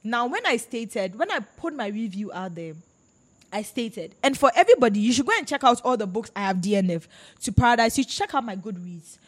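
A woman's voice: fairly high pitch, brisk. A woman from Ghana hosting a casual podcast chat about books, talking emphatically and animatedly, often overlapping her guests. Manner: animated, emphatic, talks over others. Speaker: podcast host.

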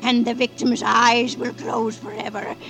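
Creaky, gloating old witch. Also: fairly high pitch, flat delivery. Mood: gloating glee. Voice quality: creaky, cackling. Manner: slow, gloating, theatrical. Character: evil queen disguised as cackling old witch. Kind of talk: 1940s radio acting. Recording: old 1940s radio recording with music.

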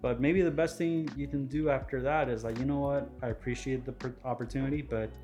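A man hosting a casual podcast, talking in a relaxed, reflective way as he gives advice. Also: mid-range voice, a little fast, soft background music. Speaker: podcast host.

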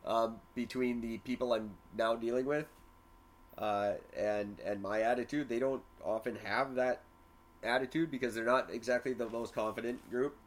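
Man, young adult, casual podcast chat, brash, swears freely, mid-pitched. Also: steady tone, moderate pace. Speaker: podcast co-host.

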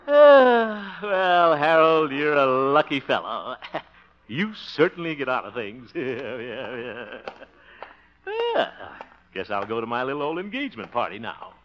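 An actor playing a flustered, henpecked bachelor in a 1950s radio sitcom, blustering, stammering and chuckling. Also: male voice, a little high, wildly swooping pitch, slow, long pauses, full character voice. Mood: relieved, gleeful. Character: flustered, henpecked small-town bachelor, self-congratulating. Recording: noisy, muffled old radio recording.

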